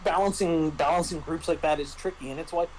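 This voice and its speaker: A man in a casual tabletop roleplaying discussion, speaking in a halting, explanatory way as a game master and panelist. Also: fairly high pitch, steady tone, darkish tone, slight background noise, poor audio.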